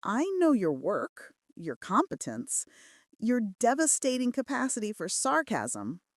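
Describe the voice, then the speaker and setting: Woman voicing a devoted mafia boss, earnest and animated. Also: on the high side, measured pace, dry recording, clean studio sound, full character voice. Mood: curious, intimate. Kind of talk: romance audiobook dialogue.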